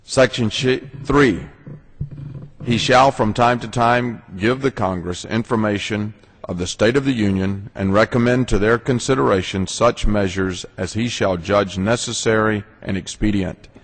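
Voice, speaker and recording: A man's voice: lowish voice, a little slow. A man reads a legal text aloud in a formal style, as a legislator. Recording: reverberant large legislative chamber.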